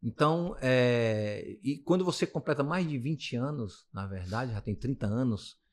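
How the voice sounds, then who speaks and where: A man's voice: mid-range voice, animated, unhurried, a little mellow. Rambling Brazilian man in his fifties. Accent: Brazilian Portuguese. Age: 50s. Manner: rambling, thinks aloud with many restarts. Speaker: physiotherapist guest. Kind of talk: casual interview talk.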